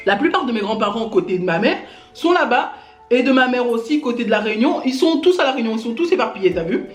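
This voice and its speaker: A woman's voice: on the high side, lively intonation, fast. A Gabon-born woman YouTuber ranting indignantly to her camera, speaking straight at her critics and filling her talk with little tags. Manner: indignant, addresses critics directly, many fillers like "hein" and "genre". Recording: echoey room with faint music.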